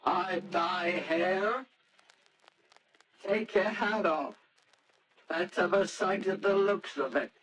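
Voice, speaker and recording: A man's voice: high-pitched, very slow, long pauses, a bit bright, a little hiss or hum, exaggerated voice, poor audio. A performer, heard as a man, playing a brusque, businesslike madame of a hair shop in a radio drama. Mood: curt, appraising. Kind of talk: old-time radio drama acting.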